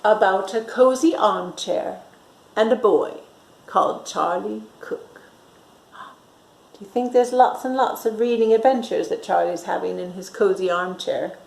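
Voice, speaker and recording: A woman reading a picture book aloud to young children in a bright, sing-song storytime way, doing little voices for the characters. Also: mid-range voice, unhurried, vocal fry. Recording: echoey room.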